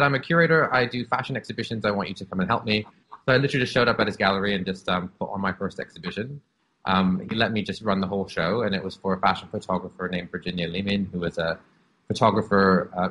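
A man's voice: on the low side, fairly bright tone, slight background noise, poor audio. A man in his thirties from Canada, speaking as an interview guest and telling his life story in an open, reflective way with many "um"s and "like"s. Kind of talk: candid personal storytelling.

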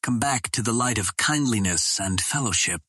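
A man's voice: expressive, normal speed, pushed and bright, slightly rough, no echo, clean studio sound, heavily acted. A man voicing a proud captive princess from another planet in a storybook, speaking in formal, impassioned oratory. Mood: fervent pleading. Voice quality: low, well-modulated.

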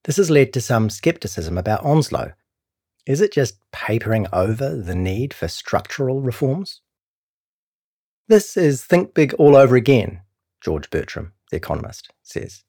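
A man with a New Zealand accent reading a scripted long-form news feature as narrator, in a measured, serious way. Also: fairly low pitch, darkish tone, slightly rough, dry recording, clean studio sound. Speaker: narrator of a long-read journalism podcast.

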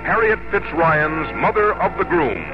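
A man's voice: on the high side, expressive, a little slow. American radio announcer man, vintage lo-fi broadcast. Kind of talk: scripted 1950s radio sponsor announcing. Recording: dull lo-fi 1950s radio, music behind. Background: music.

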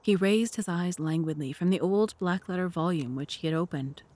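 A woman with a fairly low voice narrates an audio drama. Her delivery is scripted, literary and formal, with measured phrasing. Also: darkish tone, slight background noise.

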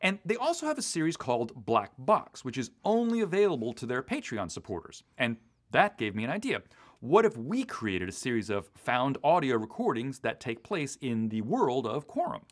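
Animated American man co-hosting a podcast. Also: mid-range voice, moderate pace. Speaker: podcast co-host and audio drama writer. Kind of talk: conversational podcast discussion.

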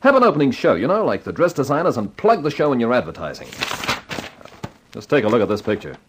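A man's voice: medium pitch, sing-song, fast, background noise, close to an everyday voice. An actor playing a slick, cocky advertising man in a hardboiled 1950s radio drama. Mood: brash, persuasive. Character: brash, wisecracking advertising man with an eye for women. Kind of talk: 1950s radio drama acting, hardboiled first-person narration.

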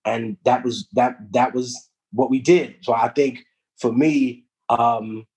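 Husky-voiced man from Peru, storytelling. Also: medium pitch, slow. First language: Spanish. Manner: reflective storytelling, street slang, animated asides. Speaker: guest. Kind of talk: casual podcast conversation.

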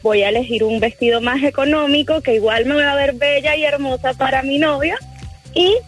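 A woman's voice: sits high, steady tone, brisk, faint music behind. A woman from Caracas with a Venezuelan accent, giving a casual opinion as a radio caller.

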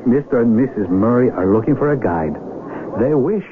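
An actor playing a practical, wry mountain climber and patient friend in a 1970s radio drama, measured and dryly teasing. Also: male voice, mid-range voice, lively intonation, lightly acted. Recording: narrowband, noisy old radio broadcast.

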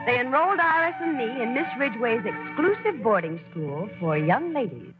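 A fond, expressive American daughter narrating a radio play. Her pitch moves freely over music, in a muffled old broadcast. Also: moderate pace. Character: grown daughter fondly recalling her show-business family. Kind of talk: scripted first-person narration, 1940s radio acting.

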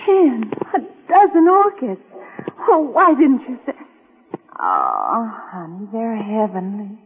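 An actress playing a fond, exasperated sweetheart in a 1940s radio drama style. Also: female voice, fairly high pitch, huge pitch swings, slow, breathy edge, heavily acted. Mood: melting, fond. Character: fond, exasperated sweetheart of an adventurer, quickly melting. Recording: muffled, echoing old 1940s radio recording.

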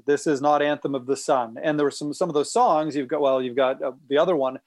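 Male interview guest, archivist type, thinks aloud through fillers, a bit higher voice, dry recording. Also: no echo. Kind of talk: casual interview talk.

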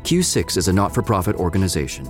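Male narrator for scripted audio fiction, fairly low pitch, light music bed. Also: big pitch swings, quick pace.

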